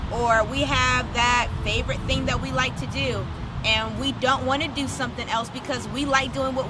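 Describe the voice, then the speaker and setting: High-pitched woman hosting children's story time. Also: background noise. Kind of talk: children's read-aloud with direct questions to young viewers. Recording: music under the voice. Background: music.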